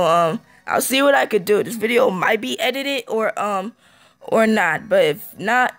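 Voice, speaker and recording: A man with a hoarse, fading voice giving casual let's-play commentary, halting with ums and throat-clearing, recorded on a phone. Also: sits high, a bit bright, no echo. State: hoarse, losing his voice.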